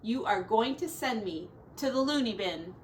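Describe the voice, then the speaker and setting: Woman acting an exasperated, high-pitched farm lady. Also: expressive, normal speed, close to an everyday voice. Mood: exasperated scolding. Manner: dramatic, emphatic scolding and fretting. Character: exasperated, scolding farm woman at wit's end. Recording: distant mic with faint background noise.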